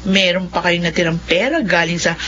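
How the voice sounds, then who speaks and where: A woman's voice: normal speed, fairly bright tone, lightly acted. An actress playing a greedy, scheming female cousin who talks in a wheedling, theatrical way. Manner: wheedling, theatrical, pitch swoops. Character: scheming woman cousin, Bel, pushy and greedy. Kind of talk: radio drama acting. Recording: dull lo-fi sound with faint noise.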